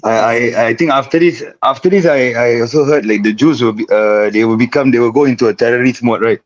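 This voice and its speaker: A man's voice: mid-range voice, a little fast, lo-fi sound. A man taking part in a casual discussion, asking questions in a hesitant way.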